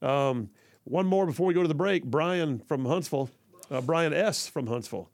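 A man's voice: a little high, moderate pace, no echo. A man from hosting a talk radio show, chatty and folksy, reading listener messages and doing ad reads. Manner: folksy and chatty, frequent fillers and restarts. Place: radio studio. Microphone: studio microphone.

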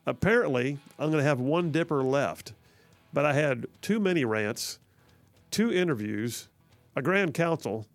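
A man from with a gravelly, fry-laced voice hosts talk radio in a folksy, rambling way. His voice sits at an average pitch and sounds close and dry in the studio, with no room around it. He restarts sentences often and fills gaps with "uh" and "um.". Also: lively intonation, unhurried, dry recording, soft background music. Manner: folksy and chatty, frequent fillers and restarts. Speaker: radio talk show host. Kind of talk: talk radio, reading listener messages and ad reads. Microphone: studio microphone.

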